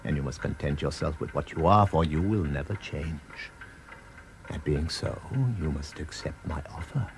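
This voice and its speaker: An actor playing a sinister, persuasive tempter in a radio drama, taunting and relentless, with an insistent theatrical delivery full of probing questions. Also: male voice, rumbling low, normal speed, a little hiss or hum. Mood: probing, scornful. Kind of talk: dramatic British radio play acting.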